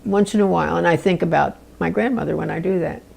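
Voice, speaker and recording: A woman in her nineties, a retired nurse, giving halting, thoughtful interview answers. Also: on the low side, creaky, a little mellow. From New Jersey. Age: 90s. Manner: halting, searching for words.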